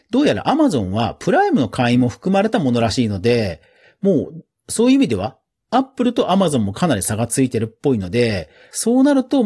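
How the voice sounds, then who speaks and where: A man's voice: mid-range voice, darkish tone, husky. A man hosting a podcast news round-up in a casual, chatty way, with informal asides and little interjections of agreement. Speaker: podcast host.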